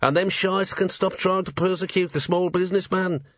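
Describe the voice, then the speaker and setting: Man voicing a pugnacious little gnome, pitched up. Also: steady tone, moderate pace, fairly bright tone, no echo, highs cut off. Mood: threatening, indignant. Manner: combative, rough ungrammatical slangy speech. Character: tiny, belligerent, cocky gnome rat-catcher, adult male. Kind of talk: character voice in audiobook narration.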